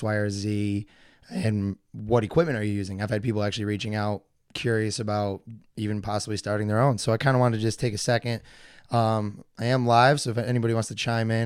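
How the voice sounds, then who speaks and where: A hoarse man talks loosely through his own live podcast, looping back with fillers and false starts. Under the roughness his voice still comes through smooth and resonant. The sound is dry and close, with no room around it. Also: medium pitch, no room sound. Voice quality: gravelly, usually hoarse. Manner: rambling, with many fillers and restarts. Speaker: podcast host. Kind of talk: casual live solo podcast talk.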